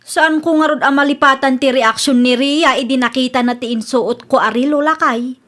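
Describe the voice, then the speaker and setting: A woman's voice: sits high, fairly even intonation, normal speed, a bit bright. A woman playing a proud, fretful married woman in a comedy radio drama, with an Ilocano accent. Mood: suspicious, fretful.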